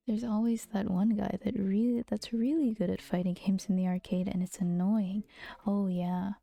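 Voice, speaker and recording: A woman streamer chatting casually in a soft, gentle, often half-whispered voice, with playful teasing and giggles, speaking close to the mic. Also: medium pitch, steady tone, a little slow, creaky, no echo, soft background music. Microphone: close to a regular mic.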